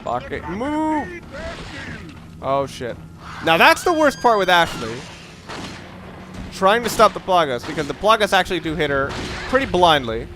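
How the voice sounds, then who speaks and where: A man giving casual, opinionated gameplay commentary, rambling and halting as he goes, with casual swearing. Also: sits high, big pitch swings, noisy background. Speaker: let's play gamer commentator.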